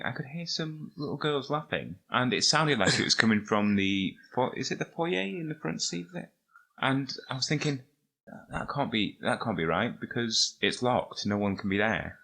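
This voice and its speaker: A man's voice: medium pitch, sharp tone. A man casually telling anecdotes in conversation. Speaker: podcast participant.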